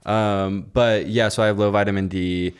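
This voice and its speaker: Very low-voiced man, casual podcast chat. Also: normal speed, smooth. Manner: deadpan, crude joking. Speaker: podcast co-host.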